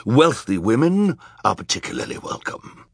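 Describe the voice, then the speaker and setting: A man voicing a snarling, smug, theatrical villain count with an oily, drawn-out delivery in an audiobook. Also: medium pitch, very animated, gravelly, slightly rough, dry recording, studio quality, pitched above the performer's own voice, exaggerated voice. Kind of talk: audiobook character acting.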